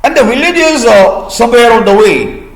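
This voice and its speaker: A man with an Indian accent telling a folk tale to listeners in a deliberate, teacherly way with pauses. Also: very high voice, animated. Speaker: storyteller and host. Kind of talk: storytelling of a folk tale for listeners learning vocabulary. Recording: echoey room.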